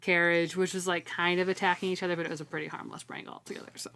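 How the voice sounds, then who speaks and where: Low-voiced woman chatting casually. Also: flat delivery, racing, creaky, fairly bright tone. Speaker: podcast co-host. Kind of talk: casual book discussion chat.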